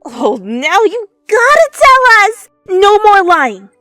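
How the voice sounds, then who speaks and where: A woman voicing a nosy, excitable, impatient teenage girl who teases and exclaims insistently, in an anime fan fiction reading. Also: very high-pitched, slow, no echo, studio quality, voice pushed up, full character voice. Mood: excited, insistent. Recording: music behind the voice.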